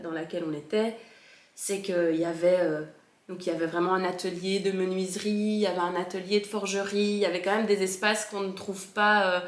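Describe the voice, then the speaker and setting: A woman fondly recalling her school years in a relaxed chat, often pausing and restarting her sentences. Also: lowish voice. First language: French. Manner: hesitant, many fillers and restarts. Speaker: former Steiner school pupil. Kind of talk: casual recollection. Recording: echoey room.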